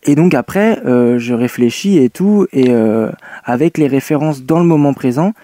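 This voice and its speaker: A young man in his twenties from France talks in a relaxed, hesitant way, his words often broken by fillers and restarts. His voice is mellow and dark. The sound is dry and close. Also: mid-range voice, normal speed, no room sound. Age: 20s. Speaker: guest, young filmmaker. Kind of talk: casual interview.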